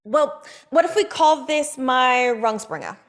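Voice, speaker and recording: A woman reading the part of a restless TV writer at a table read, brisk and self-justifying as she breaks up with her boyfriend. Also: high-pitched. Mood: defiant.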